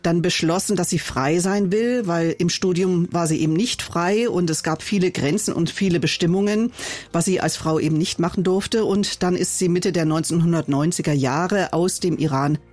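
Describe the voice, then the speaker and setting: A woman reporting live to the radio studio, explaining the story in a clear, informative way. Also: on the low side, normal speed, a little mellow, no echo.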